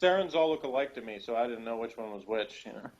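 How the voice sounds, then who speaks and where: A man's voice: mid-range voice, expressive, fast talker. Man chatting casually, dull lo-fi sound. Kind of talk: casual chat. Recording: dull lo-fi recording.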